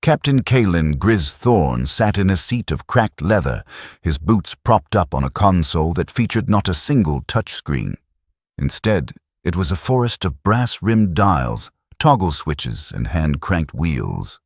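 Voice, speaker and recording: Very deep dramatic male narrator. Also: very animated, unhurried, no room sound, studio quality.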